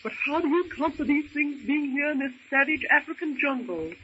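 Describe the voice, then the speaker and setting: A woman's voice: high-pitched, expressive, a little fast, bright, forceful tone. A woman acting a genteel, anxious young lady castaway in an old-time radio adventure serial, speaking with earnest, polite theatricality. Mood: hushed, concerned. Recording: dull, hissy old radio recording.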